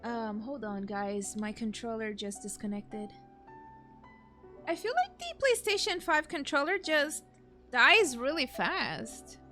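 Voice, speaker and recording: High-pitched Brazilian woman streaming a game. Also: slow, bright, forceful tone. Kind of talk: casual let's-play commentary. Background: video game music.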